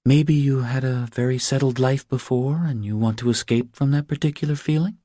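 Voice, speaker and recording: A man voicing a nervous, inquisitive woman in an audiobook, with soft breathy delivery and measured questions. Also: medium pitch, fairly even intonation, no echo, clean studio sound, voice pushed up, lightly acted. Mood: probing. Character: nervous, inquisitive well-spoken woman, wife and mother.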